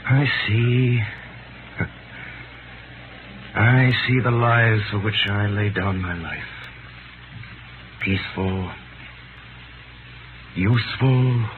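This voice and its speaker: An actor playing a calm, tender man resigned to death, in solemn, measured 1930s radio drama style on a scratchy old recording. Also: fairly low pitch, phone-quality sound. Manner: solemn, measured, theatrical delivery.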